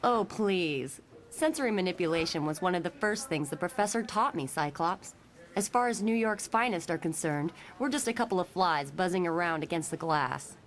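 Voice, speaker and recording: Actress as self-assured young telepath, lowish female voice, breezy flippant delivery. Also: animated, moderate pace, natural voice. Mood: dismissive confidence. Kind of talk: motion comic voice acting.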